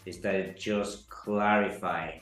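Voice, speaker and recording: A man from Ecuador, giving an earnest, halting testimonial interview full of fillers. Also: sits low, fairly even intonation. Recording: echoey room, soft music behind.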